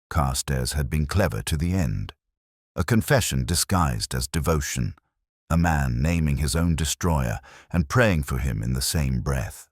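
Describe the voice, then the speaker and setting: A gloomy bookish detective telling his case, super low voice, lively rise and fall, close dry sound. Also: no echo, heavily acted. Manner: literary, measured, brooding. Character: melancholy, erudite male detective inspector recounting a case. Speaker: narrator. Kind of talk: scripted first-person mystery drama narration.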